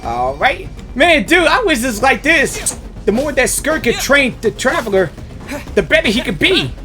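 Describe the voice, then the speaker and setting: Guy streaming his game reactions, super animated n slangy, faint music in the back. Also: extremely high pitch, moderate pace. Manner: excitable, slangy. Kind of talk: live gameplay reaction commentary, casual.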